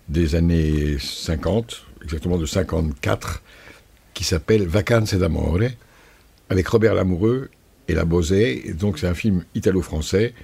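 Deep gravelly older Parisian man. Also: darkish tone. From France. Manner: measured, storytelling, erudite. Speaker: guest. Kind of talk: conversational interview.

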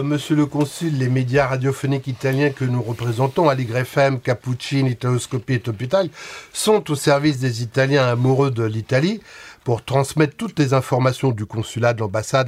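Male radio host, conversational, lots of fillers, middle pitch. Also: darkish tone. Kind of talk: radio interview questions.

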